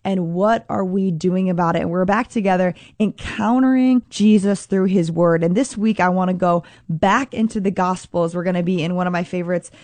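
A woman hosting a faith radio show, earnest and upbeat, with a slightly scratchy, hoarse voice. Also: lowish voice, normal speed, few pauses, darkish tone, dry recording. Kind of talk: prayerful scripture talk.

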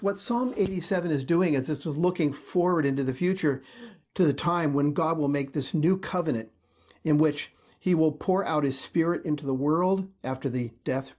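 A man with a somewhat higher voice talks through a scripture passage on a podcast. He reads steadily, then explains it in an earnest, thoughtful way to his co-hosts. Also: a little mellow. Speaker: podcast co-host. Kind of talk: casual discussion, reading scripture aloud.

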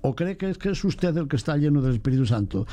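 A priest, chatting about faith in a lively way and mimicking other people's voices. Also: male voice, fairly high pitch, fast, faint music behind. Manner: animated, mimics voices in quotes. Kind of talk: casual chat on faith.

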